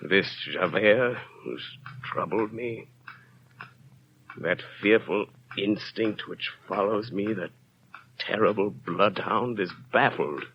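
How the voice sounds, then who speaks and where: Guilt-torn ex-convict mayor, slow and low, muffled vintage radio play. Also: male voice. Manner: brooding inner monologue. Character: middle-aged former convict turned mayor, tormented. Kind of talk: 1930s radio drama acting. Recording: dull lo-fi 1930s radio broadcast, faint hiss.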